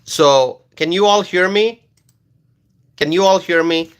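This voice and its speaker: Male livestream host from Bangladesh, slow, halting delivery, reads chat comments aloud. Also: sits high, clean tone. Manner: slow, halting, many ums and uhs.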